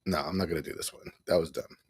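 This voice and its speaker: A man's voice: very deep voice, steady tone, fast talker, slightly rough, no echo, studio quality. A middle-aged man hosting a casual home livestream, rambling and repeating himself with small chuckles. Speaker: livestream host. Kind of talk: casual live broadcast chat.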